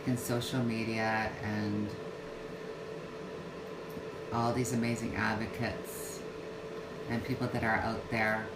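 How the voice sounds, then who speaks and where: Woman, 50s, slow unhurried livestream chat, hesitant with restarts; echoey room, loud AC hum. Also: extremely low pitch, flat delivery. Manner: halting, many fillers and restarts. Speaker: vlogger. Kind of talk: casual livestream talk. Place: home yoga room. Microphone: phone or webcam livestream.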